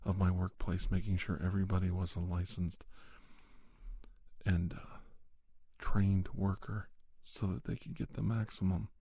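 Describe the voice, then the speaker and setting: A man hosting an ASMR podcast, giving a spoken monologue in a measured, reflective way with pauses. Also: low voice, level tone, warm tone, slightly rough, dry recording.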